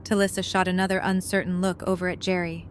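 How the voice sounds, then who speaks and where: A woman's voice: on the low side, flat delivery, fast talker, slight background noise. A woman reading scripted third-person story narration for an audio drama.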